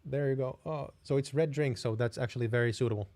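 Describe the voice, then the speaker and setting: A young man with a Finnish accent answers viewer questions on a live stream. His voice is warm and mellow at a middle pitch. He speaks in a friendly, rambling way and restarts his sentences often. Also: fast. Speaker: host.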